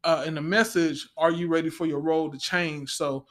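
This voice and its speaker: A man speaking in a heartfelt, conversational way as a panel guest, earnest and reflective, often pausing to restate his phrases. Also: a little high, fairly even intonation, a bit bright. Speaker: stage play actor, panel guest.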